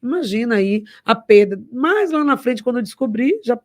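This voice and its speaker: A woman hosts a radio interview. She is lively and emphatic, often finishing the guest's thoughts. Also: a little high, moderate pace. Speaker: radio host.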